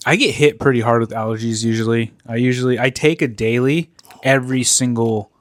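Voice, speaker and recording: A man's voice: mid-range voice, fairly even intonation, a little fast, dry recording. A man co-hosting a casual podcast chat, rambling loosely and swearing as he talks into a studio mic. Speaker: podcast co-host.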